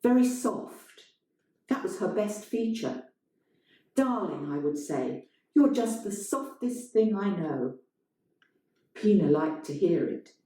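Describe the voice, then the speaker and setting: A woman's voice: medium pitch, expressive, takes it slowly, pauses often, mellow, pitched above the performer's own voice. A woman reading a story, voicing a wistful, lovelorn man who delivers a tender, lingering stage monologue. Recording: very echoey room.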